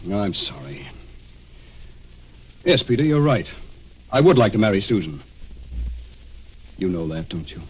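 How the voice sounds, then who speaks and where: A man's voice: low voice, big pitch swings, measured pace, pauses often, a little mellow, breathy edge, full character voice, low-quality recording. An actor playing an earnest, firm and authoritative man investigating a crime, in 1940s radio drama style. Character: steady, earnest, commanding male amateur sleuth. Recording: hissy vintage radio recording.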